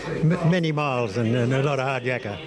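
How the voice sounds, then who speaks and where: Halting older Australian man, loud crowd behind. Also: medium pitch. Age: older, 70s or above. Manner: halting, repeats words. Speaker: former football coach being interviewed. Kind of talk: reminiscing interview. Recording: outdoors amid loud crowd chatter.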